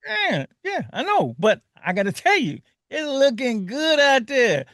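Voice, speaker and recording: Radio host guy, high bright voice, laughs a lot, talks slangy, dry studio sound. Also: very animated, measured pace, no room sound. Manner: laughs often, repeats words, street slang. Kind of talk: casual talk radio.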